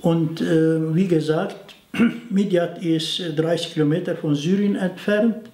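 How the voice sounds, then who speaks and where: Older man, halting. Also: on the high side, steady tone, mellow, reverberant. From Turkey, near the Syrian border. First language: Aramaic. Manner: halting, frequent fillers, non-native grammar. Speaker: interviewee. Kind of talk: explanatory talk to camera. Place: indoor study in front of bookshelves.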